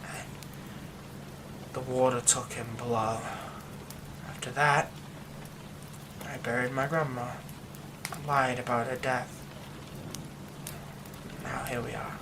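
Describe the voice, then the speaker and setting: A man voicing a haunted young man hiding a werewolf curse, speaking in a hushed, halting way that often drops to a whisper. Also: mid-range voice, flat delivery, vocal fry, background noise. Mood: nervous, haunted. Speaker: ASMR roleplay performer. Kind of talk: ASMR horror roleplay.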